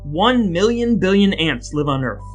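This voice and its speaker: A man's voice: high voice, lively intonation, measured pace, faint music behind, poor audio. A man co-hosting a podcast with scripted, playfully mock-solemn delivery and banter. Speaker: podcast co-host. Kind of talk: scripted dramatic reading and bantering podcast talk.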